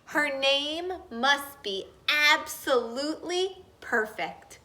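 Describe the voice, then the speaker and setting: A woman's voice: high-pitched, lively intonation, slow pace, sharp tone, full character voice. Woman voicing an emphatic, adoring father mouse.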